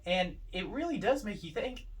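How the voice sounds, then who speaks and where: Higher-pitched man, slightly off-mic. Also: big pitch swings, moderate pace, distant mic. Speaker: podcast co-host. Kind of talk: casual film discussion.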